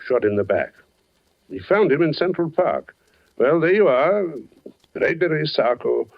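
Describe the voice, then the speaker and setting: An actor playing a world-weary, matter-of-fact police captain in a 1940s radio drama, speaking dryly with deliberate emphasis. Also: male voice, on the high side, big pitch swings, gravelly, heavily acted. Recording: dull, lo-fi 1940s radio broadcast.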